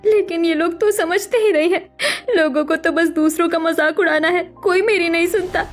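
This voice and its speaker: A woman voicing a meek, wronged young daughter who pleads plaintively in a melodramatic cartoon story. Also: extremely high pitch. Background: music.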